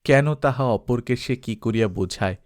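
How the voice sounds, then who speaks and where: A man narrating a literary story from a script as an audiobook reader, in an expressive storytelling style. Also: mid-range voice, moderate pace.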